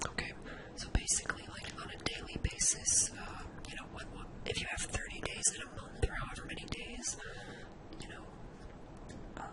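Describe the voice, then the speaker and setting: A person giving an ASMR software lesson in a breathy, unvoiced whisper, explaining step by step in an instructional way. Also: moderate pace, poor audio. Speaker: ASMR tutorial host.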